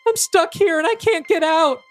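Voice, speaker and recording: A man's voice: extremely high pitch, normal speed, soft background music, voice pushed up. A man voicing a lost little girl's ghost who begs in a whiny, pleading tone.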